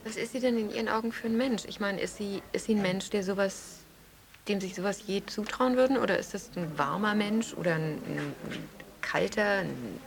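A woman's voice: medium pitch, moderate pace, creaky, a crowd in the background, close to an everyday voice. A woman playing a probing questioner in a naturalistic radio drama, hesitantly rephrasing as she goes. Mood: searching. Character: tentative, probing female questioner.

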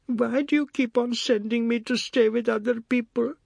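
A man voicing a frightened young man who pleads and protests in a high, strained voice. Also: steady tone, normal speed, creaky, no room sound, studio quality, full character voice. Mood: miserable.

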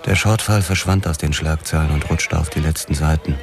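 An actor playing a haunted, hard-boiled 1940s police officer who narrates a crime radio drama. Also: male voice, extremely low pitch, steady tone, a little fast, vocal fry. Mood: haunted, grim. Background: prominent music.

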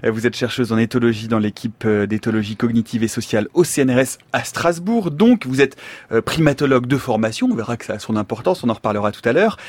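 Male radio host, middle-aged, husky, studio mic, dramatic reads then relaxed. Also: medium pitch, fast, dry recording. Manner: theatrical scripted delivery, then relaxed with fillers. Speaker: radio science show host. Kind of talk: scripted radio introduction and interview. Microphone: studio microphone.

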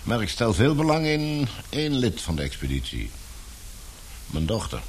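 A man's voice: medium pitch, sing-song, normal speed, natural voice. A man playing a stern but fatherly older newspaper magnate, worldly and authoritative, in a radio play. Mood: fatherly, measured. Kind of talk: radio drama acting. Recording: hissy old radio play recording.

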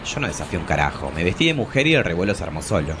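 A man's voice: deep voice, sing-song, rapid-fire, background noise. A man playing a bitter, fallen travesti diva in her forties, delivering solemn dramatic monologues with an Argentine accent. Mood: haughty defiance. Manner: solemn, theatrical, imperious.

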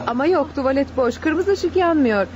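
An actress playing a polite bus hostess serving tea in a radio comedy. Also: female voice, sits high, expressive, moderate pace, a little mellow. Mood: courteous. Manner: courteous, service-style. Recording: muffled old radio recording with clear hiss.

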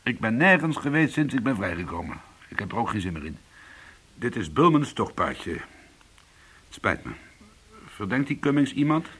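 An actor playing a weary, defensive but soothing ex-convict in a radio drama, with a husky voice. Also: male voice, mid-range voice, big pitch swings, moderate pace, pauses often, a bit bright, slight background noise. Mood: earnest. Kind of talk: radio drama acting and first-person narration.

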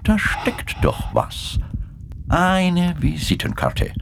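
An actor playing a suspicious, insistent British gentleman in a German radio play, with a gravelly, haughty, drawn-out delivery. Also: on the high side, wildly swooping pitch, background noise, heavily acted. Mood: suspicious, musing.

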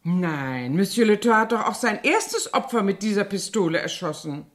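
A woman's voice: medium pitch, very animated, moderate pace, close to an everyday voice. An actress playing a chatty French cleaning woman who sounds naive and guileless while telling gossip dramatically, in a 1970s German radio play. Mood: proud, matter-of-fact.

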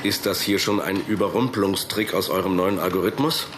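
Low-voiced corporate executive. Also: male voice, a little fast, a bit bright, a little hiss or hum. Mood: guarded. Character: wary, formal male corporate executive client. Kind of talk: naturalistic radio drama acting.

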